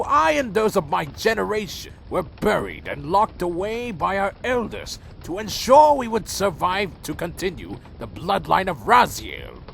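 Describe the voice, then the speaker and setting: A man playing a proud, bitter ancient vampire clan leader in a dark fantasy audio drama, brooding, theatrical and full of contempt. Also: high voice, gravelly, a little hiss or hum, exaggerated voice. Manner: slow, theatrical, brooding and menacing. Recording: faint music under the voice.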